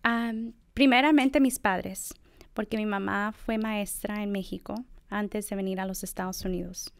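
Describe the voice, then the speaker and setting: A woman's voice: medium pitch, steady tone, a little slow, vocal fry, clean tone, no room sound. A middle-aged woman, a professor, speaking thoughtfully in an interview with many fillers. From United States. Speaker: assistant professor of bilingual education. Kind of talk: conversational interview.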